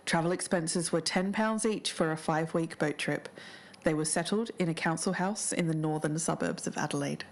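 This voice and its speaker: A woman's voice: low-pitched, normal speed. A woman co-hosting a podcast, moving between scripted narration and casual chat. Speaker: podcast co-host.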